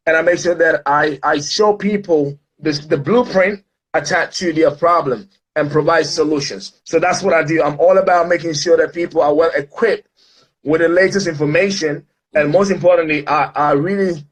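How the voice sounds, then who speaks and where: A man's voice: on the high side, poor audio. A man from Ghana, speaking as a guest in an online interview in an upbeat, motivational way with many fillers.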